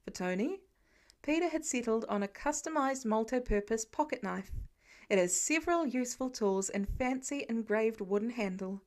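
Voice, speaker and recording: A woman's voice: on the high side, animated, moderate pace. A woman reading fiction aloud as an amateur audiobook narrator, in scripted storytelling style.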